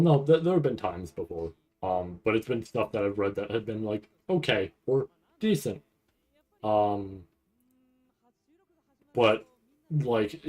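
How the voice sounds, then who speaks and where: A man giving casual, rambling live commentary as a streamer, halting and restarting often as he thinks aloud. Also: fairly low pitch, a little mellow, poor audio. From Canada. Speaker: streamer reacting to a live anime event.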